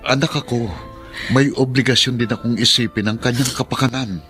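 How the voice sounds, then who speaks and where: A dutiful grown son played by a man in a radio drama. He speaks at a middling pitch, earnest and a little hesitant, as someone who always tries to placate. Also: steady tone, a little fast, fairly bright tone, soft background music, close to an everyday voice. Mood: pleading. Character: pleading, dutiful adult son and husband.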